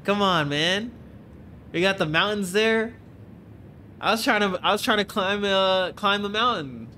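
Young male streamer, congested, bright and forceful, chatty and joking with chat, home desk mic, faint hiss. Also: sits high, a little slow. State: congested, stuffed-up nose. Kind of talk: casual live-stream chat with viewers. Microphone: desk mic, webcam framing.

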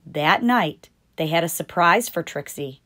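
A woman reading a picture book aloud as a storytime host, deliberate and expressive for young children. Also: on the low side. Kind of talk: scripted picture-book narration read aloud.

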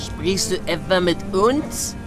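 A man's voice: sits high, lively intonation, slow. A man voicing a cruel, mocking alien gang thug who taunts with sadistic relish in an audio drama. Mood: menacing. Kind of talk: audio drama character acting. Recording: music under the voice.

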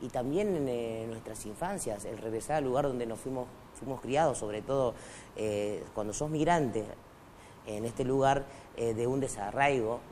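A middle-aged man whose first language is Quechua talks as a studio guest, hesitant and full of fillers and restarts. His voice sits at an ordinary pitch for a man. A faint hum of studio noise runs under his lapel mic. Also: unhurried, a little mellow. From Argentina, raised in Bolivia. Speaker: guest writer. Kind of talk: casual interview talk.

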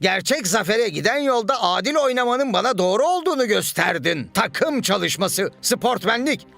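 A man's voice: sits high, lively intonation, moderate pace, creaky, a bit bright, no room sound, studio quality, full character voice. A man voicing a theatrical, devious cartoon villain who talks in an exaggerated, mock-sweet, gloating way. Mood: feigned innocence. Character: scheming, theatrical male villain, sarcastic and gleefully devious. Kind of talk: animated children's cartoon dub.

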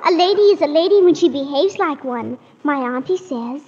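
Very high-voiced little noble girl. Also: lively intonation, normal speed, darkish tone, slight background noise. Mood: polite, proud. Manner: polite, precise, formal phrasing. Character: seven-year-old girl, earnest, well-mannered young noblewoman of a castle. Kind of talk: 1940s radio acting. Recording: dull old radio recording, long hall echo.

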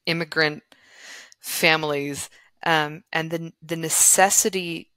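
A woman's voice: low voice, a bit bright, dry recording. A woman novelist who grew up in the former Yugoslavia and speaks Serbo-Croatian natively, talking thoughtfully in a podcast interview with many restarts. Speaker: novelist guest.

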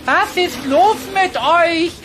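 An actor playing a cheeky, quick-witted cartoon rabbit in a children's audio play, animated and cocky, with music behind. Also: very high-pitched, background noise. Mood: bossy.

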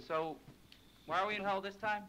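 A man's voice: high-pitched, fairly even intonation, normal speed, pushed and bright, a little hiss or hum, rough recording. An actor playing a cocky, jaded male TV show host in a video game cutscene, talking with swaggering sarcasm. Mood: sarcastic. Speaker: game character.

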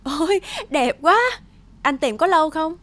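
A woman playing a devoted, caring and trusting young wife in an animated drama, speaking tenderly. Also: sits high, unhurried. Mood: happy, affectionate. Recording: music under the voice.

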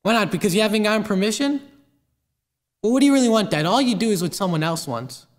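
A frustrated young son with a very high voice, acted by a young man. He reproaches his father in the manner of a stage drama. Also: lively intonation, fast. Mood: reproachful. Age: teens to young adult.

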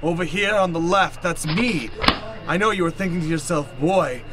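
A man's voice: a little high, normal speed, noisy background, lightly acted. A man playing a blustering, eccentric older professor in an improvised radio comedy, theatrical, pompous and scolding. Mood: smug, insulting. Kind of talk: improvised old-time radio comedy acting.

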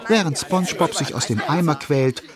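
A man's voice: fairly high pitch, moderate pace, crowd noise, lightly acted. A man narrating a children's audio drama in a vivid, dramatic storytelling manner.

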